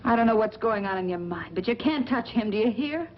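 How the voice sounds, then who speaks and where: A woman's voice: on the high side, animated, fairly quick, close to an everyday voice. An actress playing a shrewd, world-weary woman drawn to bad men, knowing and sardonic in a 1940s noir style. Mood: alarmed pleading. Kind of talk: 1940s film noir acting. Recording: dull, noisy old film soundtrack.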